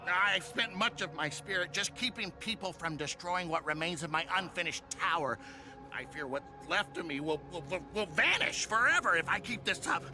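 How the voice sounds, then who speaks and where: An actor playing a gloating, grandiose mad villain in a game cutscene, with a theatrical, drawn-out, cackling delivery. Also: male voice, high voice, lively intonation, music in the background. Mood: pleading, obsessed.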